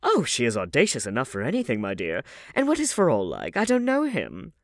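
A man voicing a lively, gossipy old aristocratic hostess in a theatrical, scolding, exclamatory way for an audiobook. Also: mid-range voice, fairly quick, dry recording, clean studio sound, pitched above the performer's own voice. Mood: scandalized, curious.

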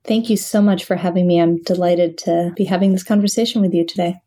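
A woman's voice: fairly low pitch, steady tone, fairly quick, warm tone. A woman from Western Ukraine, a venture investor, speaking in an earnest, reflective and articulate way as a podcast guest. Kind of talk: podcast interview.

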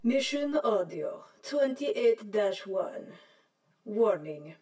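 An actress voicing an impassive shipboard computer making system announcements in an audio drama. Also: female voice, mid-range voice, big pitch swings, extremely slow, over loud music. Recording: very noisy, distant announcement.

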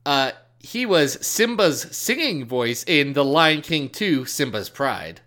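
A man's voice: on the high side, big pitch swings, slow, bright, forceful tone. A man from the American Midwest co-hosting a podcast, with dry, teasing jokes in casual chat. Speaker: podcast co-host.

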